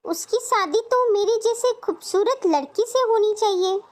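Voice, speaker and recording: Casting: vain, jealous little sister for a cartoon, extremely high-pitched played by a woman. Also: normal speed. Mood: scornful.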